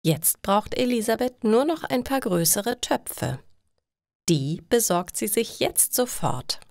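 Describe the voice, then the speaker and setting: A woman reading scripted stories for language learners in short, deliberately separated sentences. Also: on the high side, animated, vocal fry, a little mellow, no room sound, clean studio sound. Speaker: narrator of German learning stories. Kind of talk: slow scripted story narration for language learners.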